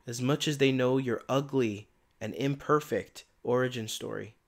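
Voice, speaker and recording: A man giving a casual spiritual tarot reading in a soft, meandering, reflective way, his voice tired and giving out. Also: medium pitch, normal speed, gravelly. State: voice giving out, tired by day's end. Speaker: tarot reader and channeler.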